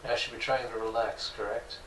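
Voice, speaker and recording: A man, the host, lying relaxed and briefly describing what he feels during a Reiki session. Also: medium pitch, brisk, noisy background. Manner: relaxed, brief, reporting sensations. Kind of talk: casual responses. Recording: echoey room, distant mic.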